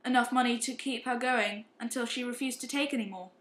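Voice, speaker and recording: A woman acting a guilt-ridden, hard-drinking young upper-class man in an amateur radio play, confessing in a halting, ashamed way. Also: fairly high pitch, fairly even intonation, fairly bright tone, natural voice. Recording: roomy, echoing amateur recording.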